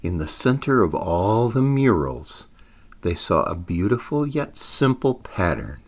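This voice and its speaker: A man reading his own story aloud in an expressive storytelling style, with deliberate, dramatic phrasing. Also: deep voice.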